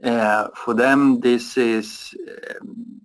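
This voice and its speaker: A man's voice: medium pitch, fairly even intonation, rough recording. Hesitant European man answering questions. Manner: halting, many fillers and restarts. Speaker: product expert. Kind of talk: webinar Q&A answers.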